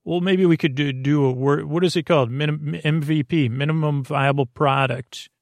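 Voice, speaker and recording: A man hosting a sleep podcast with an improvised bedtime monologue, meandering, halting and mildly self-deprecating, with a creaky voice. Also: fairly high pitch, steady tone, no echo, clean studio sound. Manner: meandering, halting, often trailing off mid-sentence.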